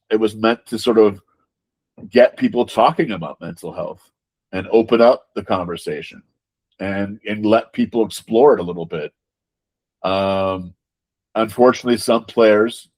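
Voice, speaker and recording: A man's voice: lowish voice, a little mellow. A man giving conversational interview answers as a game designer, emphatic, repeating words and often checking in with rhetorical questions.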